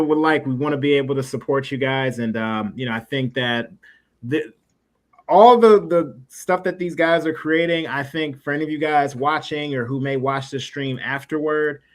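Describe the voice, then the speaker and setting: A man hosting a casual livestream interview, talking in a rambling, encouraging way and often searching for words. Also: medium pitch. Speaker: livestream show host.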